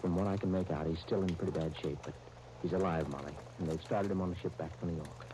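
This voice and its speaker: A man's voice: sits low, steady tone, quick pace, close to an everyday voice. A man playing a grave, commanding doctor in a 1930s radio drama. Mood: grave, steady. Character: grave, commanding doctor breaking momentous news to friends. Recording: noisy 1939 radio broadcast disc.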